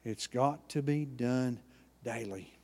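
A man with an Oklahoma accent preaching a sermon in a church, with a folksy manner, dropping low and quiet and then rising loud. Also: slow pace, husky, long reverb tail. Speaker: pastor.

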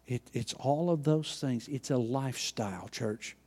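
A man with an Oklahoma accent preaching a sermon in a church, with a folksy manner, dropping low and quiet and then rising loud. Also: measured pace, breathy edge, in a large hall. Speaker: pastor.

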